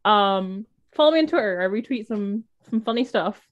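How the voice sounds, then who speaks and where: A woman's voice: mid-range voice, lively intonation, normal speed, muffled recording. Casual woman player, mid voice, shy and self-deprecating. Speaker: player and streamer. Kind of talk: casual chat.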